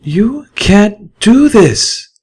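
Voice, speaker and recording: A man voicing an idealistic young mare healer, high-pitched and earnest in an audiobook reading. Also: sing-song, extremely slow, darkish tone, husky, full character voice. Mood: pleading.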